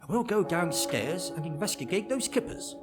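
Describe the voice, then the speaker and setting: An actor playing a cool, incisive English detective in a radio drama, crisp, sardonic and lecturing, with a British accent. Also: male voice, a little high, expressive, normal speed, loud music behind the voice, close to an everyday voice. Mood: dryly superior.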